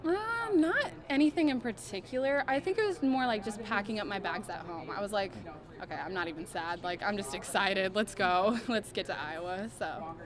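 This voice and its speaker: A young woman speaking to reporters at a middling pitch, cheerful and chatty, leaning on "like" and "I mean". Clear crowd chatter runs behind her. She sounds at ease. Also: moderate pace. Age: young adult. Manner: upbeat, chatty, fillers like "I mean" and "like". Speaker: college basketball player. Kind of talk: media interview answers.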